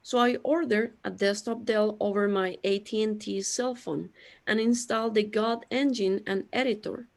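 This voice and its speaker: A woman playing a lonely, self-pitying immortal creator god in a scripted audio drama, speaking in a plaintive, deliberate way. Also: mid-range voice, fairly even intonation, close to an everyday voice. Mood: confessional.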